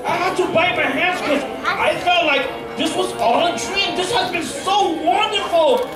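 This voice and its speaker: An echoey, distant recording with a noisy crowd carries a woman hosting a children's show. Her voice is extremely high and exclamatory as she calls out to the young audience. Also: moderate pace, music in the background. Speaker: children's church show host. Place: large church hall with a live crowd. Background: crowd of children and room noise.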